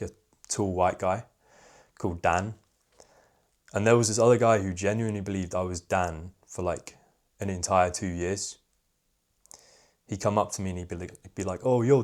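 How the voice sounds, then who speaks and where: A young man talks straight to camera about social confidence, in a candid, reflective way. His voice sits a bit low and fairly monotone, loosened by frequent "like" fillers. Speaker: social skills coach. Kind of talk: casual talking-head advice video.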